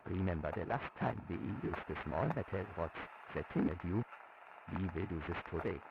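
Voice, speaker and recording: A man playing a calm, sinister doctor in an analog horror series, soothing in a slow, measured way on a muffled, noisy old recording. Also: deep voice. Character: calm, sinister male doctor soothing a child test subject.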